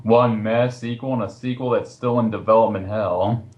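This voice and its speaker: A man's voice: on the low side, steady tone, moderate pace, dull audio. A man who reviews online, hosting a casual film chat over a voice call. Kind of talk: casual chat about films. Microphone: voice call on a computer. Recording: faintly noisy internet voice call.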